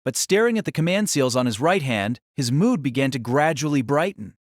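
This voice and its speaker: A man's voice: medium pitch, animated, a little fast, no room sound, clean studio sound. A man narrating a light novel in a dramatic, scripted storytelling style.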